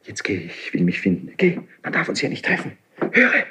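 An actor playing a highly strung young crown prince in a classical radio play, declaiming intensely with a husky voice. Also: male voice, huge pitch swings, normal speed, pushed and bright, slight background noise, heavily acted. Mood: shaken, secretive. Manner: impulsive, intense, theatrically declaiming. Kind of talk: classical German radio play stage acting.

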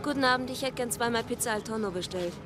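A woman's voice: fairly high pitch, quick pace, lightly acted. An actress playing a defiant woman journalist in a German radio drama, pointed and sardonic. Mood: casual, hurried. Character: defiant, accusing woman journalist confronting power.

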